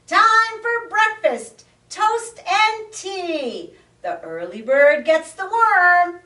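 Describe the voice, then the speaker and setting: A woman voicing a tiny, loud, cheerful male frog who shouts in a sing-song way, in a storybook reading. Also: very high voice, completely flat delivery, drawn-out words, voice pushed up, full character voice. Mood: exuberant. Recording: roomy, distant mic.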